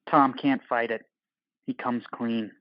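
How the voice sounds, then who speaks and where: A man's voice: mid-range voice, level tone, slow, no room sound, dull audio. A man narrating an audio play from a script in terse, dramatic, clipped phrases.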